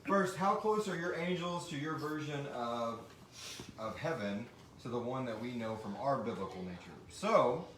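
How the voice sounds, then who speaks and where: A man's voice: mid-range voice, animated, moderate pace, noisy background. A man hosting a casual live question-and-answer chat, joking and sometimes hesitant as he explains. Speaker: show creator hosting a live audience question session. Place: live stream in a room with several people. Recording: roomy, distant mic with group chatter.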